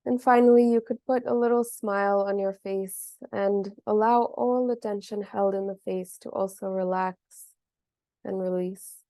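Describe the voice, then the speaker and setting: A woman guides a meditation in a mellow, relaxed voice with very little rise and fall. Her delivery is gentle and measured, leaving long pauses between phrases. The sound is dry and close, with no room around it. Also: medium pitch, no echo. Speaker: meditation teacher.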